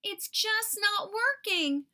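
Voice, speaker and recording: A woman voicing small, excitable, anxious young alphabet letters from a children's storybook, exclaiming in a childlike way. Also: very high-pitched, a little slow, pitched above the performer's own voice, exaggerated voice. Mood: frustrated, worried.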